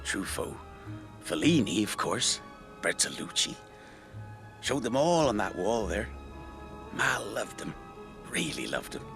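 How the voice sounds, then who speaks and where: An actor playing a rueful rural man in a naturalistic radio drama, telling his memories in a halting, conversational way. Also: fairly low pitch, sing-song, vocal fry, over music, full character voice. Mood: nostalgic, halting. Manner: halting, reflective, conversational storytelling. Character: rueful rural man recalling an old friend's tragedy.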